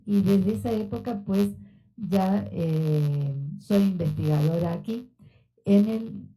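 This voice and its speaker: A woman's voice: medium pitch, dark tone, soft background music, low-quality recording. A woman in her sixties from Argentina, whose accent mixes Argentine and Mexican Spanish, giving an informal, conversational lecture in a university auditorium with frequent fillers. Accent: Argentine Spanish with Mexican influence. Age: 60s. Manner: unhurried, conversational, many fillers. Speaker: researcher giving a talk.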